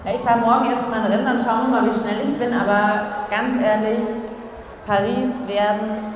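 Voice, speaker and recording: A woman's voice: on the high side, normal speed. A woman in her thirties telling stories with enthusiasm as a guest on stage, into a handheld mic in a sports hall. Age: 30s. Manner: lively, enthusiastic storytelling. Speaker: athlete guest. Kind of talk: stage interview. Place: large sports hall, indoors. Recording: distant echoey hall with crowd noise.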